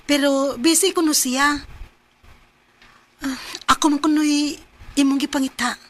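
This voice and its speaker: A woman's voice: high-pitched, steady tone, a little slow. A woman acting a reserved young woman in a radio drama, speaking. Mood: hesitant. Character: Ria, reserved young woman visiting an admirer.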